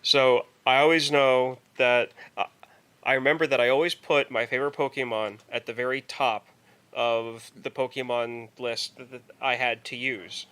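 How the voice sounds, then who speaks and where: A man co-hosting a podcast in casual chat, rambling haltingly and repeating words as he goes. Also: mid-range voice, fairly even intonation, fairly bright tone, clean tone. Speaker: podcast co-host.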